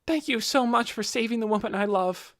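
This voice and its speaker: A man's voice: sits high, brisk, fairly bright tone, dry recording, studio quality, voice pushed up. A man voicing an earnest, polite, nervous teenage boy in an audiobook, speaking deferentially and apologetically. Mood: earnest, grateful. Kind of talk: audiobook character voice.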